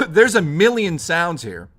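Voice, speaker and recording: A young man hosts a live stream. His voice is hoarse from hours of talking, yet it stays bright and forceful, swinging through a lively pitch range as he chats excitably with viewers and swears freely. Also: slow. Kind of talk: casual live-stream chat.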